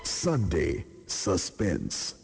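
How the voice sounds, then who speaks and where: A man's voice: low-pitched, wildly swooping pitch, extremely slow, vocal fry. A man voicing a scripted radio station ident and break announcement. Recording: loud music bed behind the voice.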